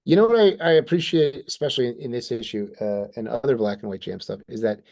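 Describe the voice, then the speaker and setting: A man's voice: medium pitch, fast, creaky, darkish tone. A man co-hosting a show, chatting casually and reacting with exclamations and enthusiasm. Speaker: show co-host. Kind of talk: casual chat, reacting to comic art.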